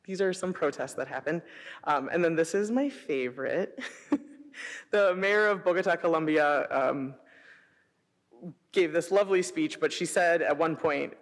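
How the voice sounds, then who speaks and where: A middle-aged woman with an American accent giving an informal presentation, telling personal anecdotes in an animated way and acting out quoted dialogue. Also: low voice. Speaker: city sustainability director presenting. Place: presentation hall before an audience. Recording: echoey hall, long reverb.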